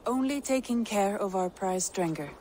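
A woman playing a calm, caring Viking counsellor in a video game, composed and advising. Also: medium pitch, normal speed, slight background noise, lightly acted. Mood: concerned. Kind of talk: video game cutscene acting. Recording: faint background ambience.

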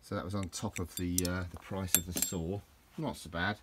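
A middle-aged man with a low voice, rambling through a casual hands-on garden demonstration with lots of restarts. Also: fairly even intonation, moderate pace, heavy background noise. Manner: rambling, with many restarts and fillers. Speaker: DIY vlogger. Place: outdoors in a garden.

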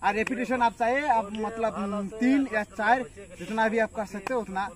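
A man's voice: high voice, fairly even intonation, moderate pace, crowd noise. A young man in his twenties demonstrating a home workout in a field, counting reps aloud while he lifts, with many fillers. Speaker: fitness instructor. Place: open farm field outdoors. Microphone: camera at a distance. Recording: distant outdoor camera audio, faint noise.

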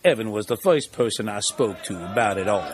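A man's voice: on the low side, animated, fairly quick, background noise, lightly acted. A man playing a genial, eccentric middle-aged small-town lawyer in an audio drama, with a folksy, rambling storyteller's manner. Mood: regretful.

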